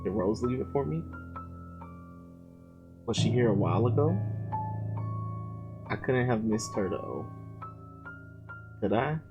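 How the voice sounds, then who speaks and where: A man reading a horror visual novel's text aloud, breaking off to swear and react loudly between lines. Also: lowish voice, expressive, takes it slowly, long pauses, lo-fi sound. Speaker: gamer playing a visual novel. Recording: loud game music behind.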